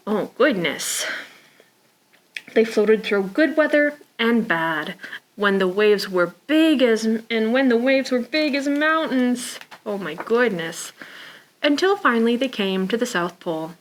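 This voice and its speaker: A woman's voice: a little high, takes it slowly, a little hiss or hum. A woman reading picture books aloud for a preschool storytime, speaking expressively and simply, as if to young children. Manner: expressive, with sighs, gasps and exclamations; simple child-directed phrasing. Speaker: children's librarian storytime presenter.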